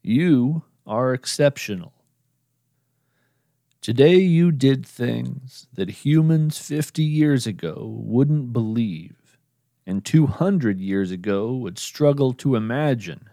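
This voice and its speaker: Man, podcast narrator, earnest, measured, speaks the script to the listener, unhurried, dry studio sound. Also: medium pitch, expressive, creaky, warm tone, no room sound.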